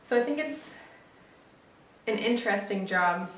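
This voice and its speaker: A woman's voice: medium pitch, lively intonation, measured pace, a bit bright, a little hiss or hum. Chatty young woman, roomy distant sound. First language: English. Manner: chatty, upbeat, self-correcting. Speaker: vlogger. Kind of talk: casual vlog talk to camera.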